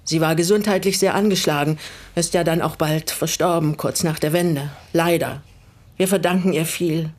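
Measured, bitterly composed older woman. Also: deep voice, fairly even intonation, darkish tone. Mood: sober, regretful. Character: older East German widow, once a political prisoner, bitterly composed. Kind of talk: naturalistic radio drama dialogue.

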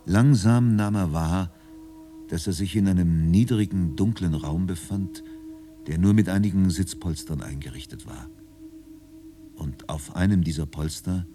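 A man narrating a radio drama from a script in a calm, measured storytelling manner. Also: sits low.

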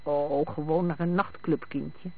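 Man playing a calm, evasive husband, phone-like sound. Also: a little high, measured pace, dry recording. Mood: teasing. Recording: thin, phone-like band-limited sound.

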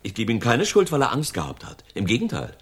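A hard-nosed male crook in a radio crime drama, with a husky voice that rises and falls widely. He argues precisely and emphatically, like someone laying down the rules, cold and calculating. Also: medium pitch, wildly swooping pitch, a little fast. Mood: scornful, lecturing.

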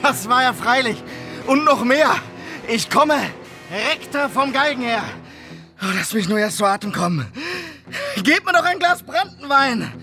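Breathless, wildly swooping escaped robber. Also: male voice, very high voice, expressive, unhurried, over music. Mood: breathless, spent. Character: exhausted, giddy robber escaped from the gallows. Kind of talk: radio play acting.

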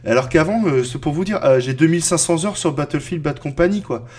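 A man's voice: fairly high pitch, fairly quick, darkish tone, breathy edge. A man in his thirties chatting casually as a podcast co-host, rambling with lots of fillers and restarts. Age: 30s. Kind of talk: casual roundtable chat.

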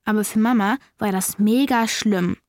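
A woman's voice: mid-range voice, moderate pace, a little mellow, close to an everyday voice. A woman playing a stubborn, indignant young girl in a children's radio play, speaking in a sulky, curt way. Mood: explaining.

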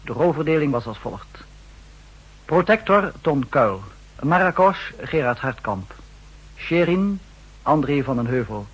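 Vintage radio continuity man, formal credit reading, very deliberate pace, slightly husky tone. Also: a little high, a bit bright, poor audio. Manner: measured, formal. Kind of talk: formal 1960s radio announcement. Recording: old 1960s radio recording.

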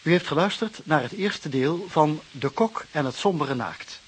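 Male announcer with lively intonation. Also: on the high side, normal speed, a little hiss or hum. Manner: formal. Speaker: radio announcer. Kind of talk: scripted closing credits announcement.

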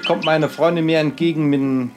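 Man, fairly high voice, halting and repetitive personal storytelling, music underneath. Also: fairly even intonation. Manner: halting, repetitive, circling back on phrases. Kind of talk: rambling personal monologue in a radio feature.

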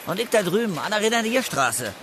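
A man's voice: sits high, very animated, brisk, background noise. A man playing a cheeky, streetwise street trader in a radio drama. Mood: teasing, breezy.

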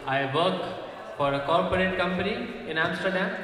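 Young male Indian-accented comic, slightly high voice, dry crowd teasing, heard from far off in a reverberant hall. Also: moderate pace, bright, forceful tone. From North India. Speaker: stand-up comedian. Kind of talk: stand-up comedy with audience banter. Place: echoing church hall at a festival. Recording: distant mic, very echoey hall, audience noise. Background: audience.

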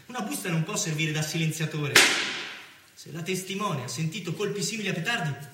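An actor playing an earnest investigator in a theatrical crime drama, questioning and deliberate. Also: male voice, a little high, lightly acted. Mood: intent, puzzled. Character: earnest investigator puzzling over murder clues. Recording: echoey room, distant mic, faint noise.

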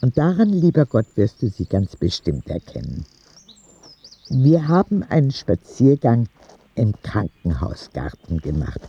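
A man's voice: medium pitch, huge pitch swings, unhurried, warm tone, husky, lo-fi sound, full character voice. A man playing an earnest, candid ten-year-old sick boy who narrates his letters in a radio drama. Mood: confiding, admiring.

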